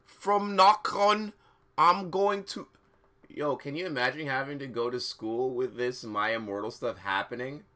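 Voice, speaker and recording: Bright-voiced man streaming a dramatic reading. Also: medium pitch, unhurried. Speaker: live streamer. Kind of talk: dramatic reading with casual joking commentary.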